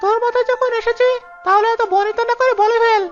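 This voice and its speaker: An actor voicing a squeaky village thief boss in a cartoon, boastful yet hesitant and excitable. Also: quick pace. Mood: eager, unsure. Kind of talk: cartoon dialogue. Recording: dull lo-fi audio with music behind.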